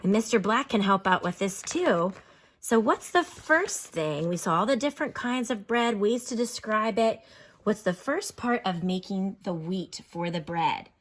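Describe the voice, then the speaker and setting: Woman, teacher. Medium-pitched, expressive, instructional read-aloud with explanations and questions for kids. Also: a little slow.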